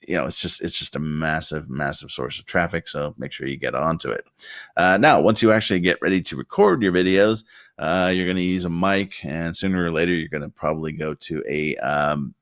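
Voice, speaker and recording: A man with a very deep voice giving a rambling, casual tutorial at his desk into a desktop mic, with lots of fillers and wry asides. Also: no echo, clean studio sound. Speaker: video creator giving tips. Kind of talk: casual talking-head tutorial. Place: home desk. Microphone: desktop condenser mic.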